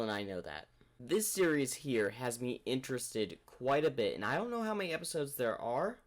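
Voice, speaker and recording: A man with an average-pitched voice hosts a reaction video. He talks casually and rambles as he thinks aloud, commenting as a fan would.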